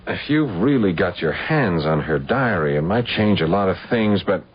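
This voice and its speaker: An actor playing a brisk, shrewd hired investigator with an easygoing, familiar manner in a radio drama. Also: male voice, sits low, expressive, a little hiss or hum. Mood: breezy, confident. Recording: muffled old radio broadcast.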